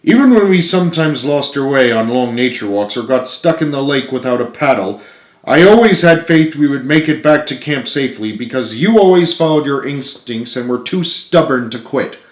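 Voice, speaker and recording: A man acts out an earnest, loyal man from a storybook. He speaks with emphatic, pleading sincerity at a medium pitch in a room with clear echo. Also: animated, moderate pace, natural voice. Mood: encouraging. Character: earnest, remorseful, encouraging man, loyal friend. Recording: roomy, echoing room sound.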